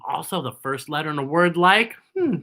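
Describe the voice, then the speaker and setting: A woman's voice: low-pitched, animated, a little fast, a bit bright. A woman hosting a children's story time in a playful, theatrical, giggly manner, teaching letters. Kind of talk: playful children's show presenting with letter lessons and singing.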